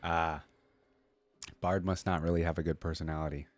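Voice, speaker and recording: A man's voice: sits low, flat delivery, moderate pace, no echo. A man hosting a reaction video, commenting casually and musing aloud in an informal way. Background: faint music.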